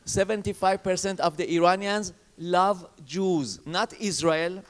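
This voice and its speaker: A man speaking on a conference panel in English, emphatic and repeating himself, with halting phrasing. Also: high voice. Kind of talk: panel discussion. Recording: reverberant conference hall.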